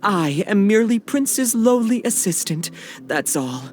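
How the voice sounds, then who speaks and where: A man's voice: sits high, very animated, a little slow, faint music behind. A man playing a pompous, formal and fawningly devoted young assistant in a video game dub. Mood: pompous, reverent. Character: pompous, devoted young male assistant revering his master. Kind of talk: dubbed video game cutscene acting.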